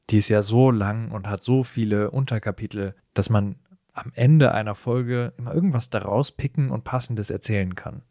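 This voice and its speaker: A man's voice: mid-range voice, a little mellow, no echo, studio quality. A man co-hosting a podcast, telling stories in a casual, reflective and explanatory way with colloquial asides. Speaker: podcast co-host. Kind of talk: casual conversational podcast storytelling.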